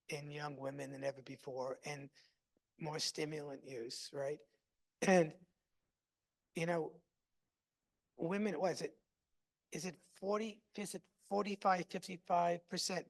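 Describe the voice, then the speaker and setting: A man with a somewhat raised pitch talks his way through a clinical discussion. He rambles and restarts often. He keeps checking in with his listeners as he thinks aloud. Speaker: psychiatrist in case discussion. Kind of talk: academic case discussion.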